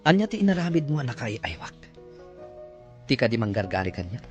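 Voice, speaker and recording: A man's voice: medium pitch, sing-song, a little fast, darkish tone, muffled recording, faint music behind, natural voice. An actor playing a stern man who bluntly rejects a woman. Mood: cold. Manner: dismissive and blunt.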